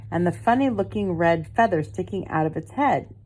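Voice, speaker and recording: A woman's voice: fairly low pitch, lively intonation, moderate pace, a little mellow, poor audio. An older woman voicing a stubborn young boy bear cub from a storybook, emphatic and protesting. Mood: fibbing.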